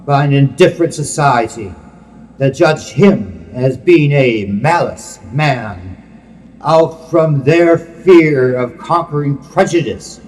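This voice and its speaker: A man giving a solemn, reverent religious poetry reading in a measured, declamatory manner. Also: medium pitch, a little mellow. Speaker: poet reading own work. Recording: long hall-like reverb.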